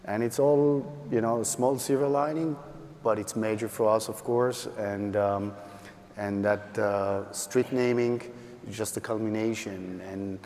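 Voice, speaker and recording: A man's voice: fairly low pitch, fairly even intonation, unhurried, a little mellow. A man, a guest at a live event, speaking hesitantly with many fillers. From Israel. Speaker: guest, parent of a hostage. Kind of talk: onstage conversation. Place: large event hall. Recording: reverberant hall with faint noise.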